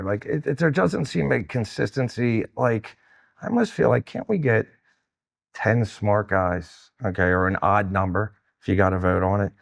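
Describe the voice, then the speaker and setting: A man's voice: on the low side, vocal fry, no echo, clean studio sound. A man in his fifties from New Jersey, a wrestling coach talking candidly and casually in an interview. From New Jersey, United States. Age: 50s. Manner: candid, old-school. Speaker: high school wrestling coach.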